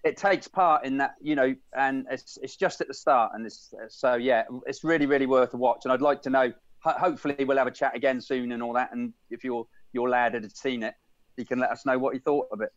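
A man's voice: mid-range voice, steady tone, brisk, no echo, dull audio. A man co-hosting a film podcast over a video call, rambling and repeating words as he talks. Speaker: podcast co-host. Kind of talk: casual video-call chat.

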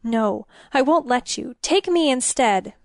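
Desperate young queen, acted by a woman narrator: proud but frightened, breathy and pleading, emphatic storybook delivery, dry recording. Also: high voice, lively intonation, unhurried, darkish tone, no echo. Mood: desperate, defiant. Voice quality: husky, breathy when distressed. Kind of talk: audiobook fairy-tale character voice.

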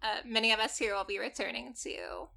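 A woman's voice: a little high, fairly quick, vocal fry, a crowd in the background. A woman who teaches college, talking as a podcast guest; articulate and wordy, with stammered restarts. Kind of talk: casual podcast chat.

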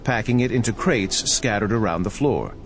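A man's voice: on the low side, expressive, normal speed, over music. A man reading scripted second-person story narration as the narrator of an audio adventure game.

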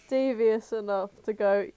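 A woman's voice: a little high, warm tone, clear, resonant tone, no echo. A woman co-hosting a podcast, chatting casually in a blunt, sweary way. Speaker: podcast co-host. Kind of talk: casual chat.

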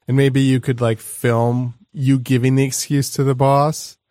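Deadpan male podcast host, low voice, close dry mic. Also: no room sound. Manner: rambling, deadpan, spins ideas to absurd extremes. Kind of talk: casual comedy podcast chat.

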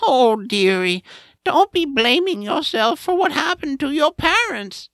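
Gentle Mammy figure, played by a woman: slow, expressive, soothing delivery for a family fantasy audio drama. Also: high-pitched, no room sound, full character voice. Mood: consoling. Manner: soothing, drawn-out. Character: gentle, motherly Mammy comforting her child.

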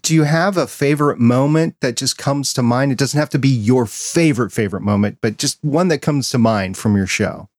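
A man with a medium-pitched voice hosts a casual interview. His recording is dry, with no room sound, and he talks in an informal, conversational way. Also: a little fast, hardly any pauses, a little mellow, no room sound. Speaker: podcast host.

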